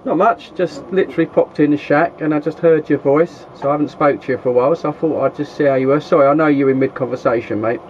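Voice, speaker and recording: A man's voice: on the high side, fast talker, few pauses. A man with a southern English accent chatting casually in a chummy, rambling way, as a hobbyist vlogger. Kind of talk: casual vlog chat. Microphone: handheld camera. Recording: dull, noisy handheld camera audio.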